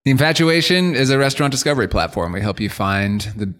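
A man's voice: medium pitch, expressive, fast, a bit bright. An American man from the New York suburbs, explaining things conversationally as a company co-founder being interviewed on a podcast. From New York suburbs, United States.

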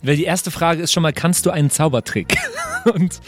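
A man's voice: fairly high pitch, lively intonation, normal speed, faint music behind. A man in a casual group chat, playful and amused as he talks and reads things out. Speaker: podcast player creating a role-playing character. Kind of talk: casual group chat, reading out quiz questions.

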